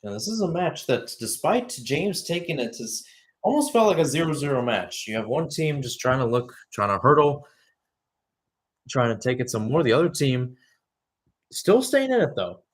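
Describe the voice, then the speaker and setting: A man's voice: medium pitch, big pitch swings, normal speed. A young man giving live radio-style soccer commentary, moving between calls of play and conversational analysis. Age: young adult.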